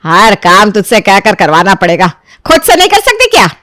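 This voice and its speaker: A woman voicing a quick-tempered, domineering older mother-in-law in a story, nagging and accusing with sharp questions. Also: mid-range voice, wildly swooping pitch, dry recording, clean studio sound. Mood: nagging, irritated.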